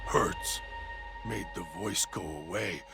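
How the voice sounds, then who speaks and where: A man voicing a deranged, hungry male dog-creature who talks of himself in halting, broken third-person speech. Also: sits low, full character voice. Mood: eerie. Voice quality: gravelly. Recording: music bed underneath.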